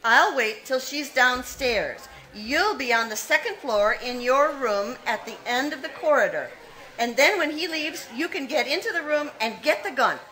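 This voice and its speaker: A woman's voice: high-pitched, lively intonation, normal speed, bright, forceful tone, soft background music, low-quality recording. A woman playing a ruthless, scheming young woman who plots a murder, in 1940s radio acting style, cold and commanding. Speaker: radio play actor.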